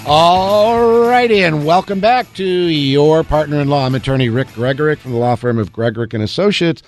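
A man's voice: fairly high pitch, normal speed, over music. A man in his sixties, an attorney hosting a call-in radio advice show, upbeat and folksy with easy jokes. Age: 60s.

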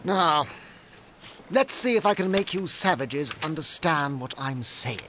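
A man acting a cold, condescending slave-trading ship captain in a radio drama, talking with slow emphasis. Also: on the high side, animated, noisy background. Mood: haughty, patronizing.

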